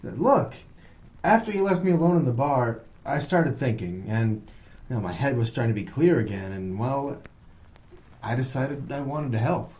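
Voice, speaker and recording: A man plays a young college guy in noir radio drama, sheepish and halting. His mid-range voice swings widely in pitch. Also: animated, a little mellow, lightly acted. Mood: sheepish, defensive. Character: sheepish, hesitant young male college student.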